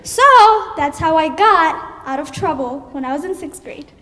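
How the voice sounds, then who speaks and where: High-pitched young woman from Ethiopia, animated live storyteller, long hall echo. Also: moderate pace, noisy background. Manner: animated, acts out her own panicked thoughts. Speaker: student storyteller. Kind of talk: personal story told on stage.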